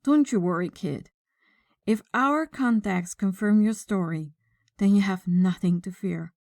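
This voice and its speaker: Woman acting a slow-spoken, commanding female mafia boss. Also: mid-range voice, vocal fry, darkish tone, no echo, studio quality. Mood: calm, controlled. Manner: slow, deliberate, authoritative. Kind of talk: ASMR audio roleplay acting.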